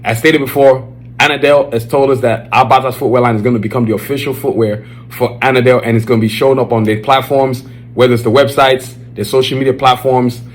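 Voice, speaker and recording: A man with a Nigerian accent hosting his own podcast, talking loosely and excitedly with lots of slang fillers. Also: mid-range voice, fast. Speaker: podcast host. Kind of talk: casual solo podcast chat. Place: Dallas, Texas area.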